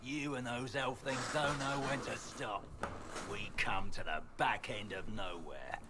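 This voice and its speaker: An actor playing a bitter, ruthless, taunting general, a zealous armoured villain in a video game. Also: male voice, medium pitch, a little slow, natural voice. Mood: boastful menace. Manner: taunting, menacing. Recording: noisy game audio with ambience.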